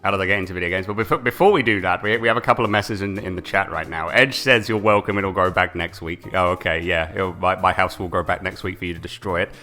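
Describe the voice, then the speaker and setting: British guy, young, bright low-ish voice, laughing stream co-host, faint music behind. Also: fast, few pauses. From United Kingdom. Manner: chatty, laughs often, repeats words. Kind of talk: casual live-stream chat.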